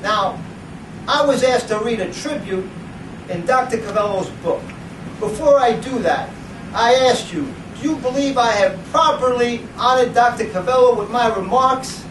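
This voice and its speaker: A man's voice: extremely high pitch, moderate pace. Theatrical man in a noisy, echoey room. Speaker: presenter reading at a book event. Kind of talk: dramatic reading and speech to a live audience. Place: indoor library event room. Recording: roomy, noisy, distant camera microphone.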